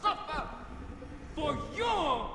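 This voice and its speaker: Echoing high-pitched, enraged ancient dragon. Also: female voice, sing-song, heavily acted. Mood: furious, accusing. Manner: slow, theatrical, accusing. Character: Riven, enraged ancient female wish-dragon. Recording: long echoing reverb, loud music behind.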